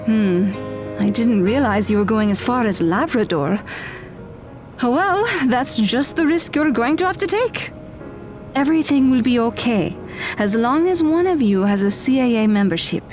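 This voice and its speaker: Kindly mom with a high voice, played by a woman. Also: expressive, normal speed, over music, natural voice. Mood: caring. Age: middle-aged. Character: kind, upbeat widowed mother of a teenage daughter. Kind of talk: audio drama acting.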